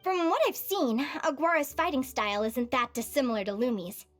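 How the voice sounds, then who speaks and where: Perky, high-voiced young adventurer woman. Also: a little fast, faint music behind. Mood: instructive. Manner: perky, encouraging, expressive. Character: cheerful, encouraging young woman adventurer training animal companions. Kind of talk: animated video game voice acting.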